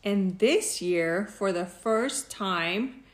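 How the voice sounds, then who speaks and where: A woman's voice: mid-range voice, drawn-out words, reverberant. A middle-aged woman from Mexico co-hosting a podcast, chatting casually and mixing English with Spanish. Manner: enthusiastic, switches between English and Spanish. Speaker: podcast co-host. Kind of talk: bilingual casual chat.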